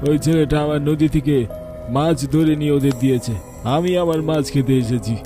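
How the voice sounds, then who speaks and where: A man's voice: on the high side, steady tone, brisk, music in the background, natural voice. A man voicing the possessive, vengeful ghost of a river boatman in a horror cartoon, speaking in curt, menacing declarations. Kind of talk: Bengali horror cartoon voice acting.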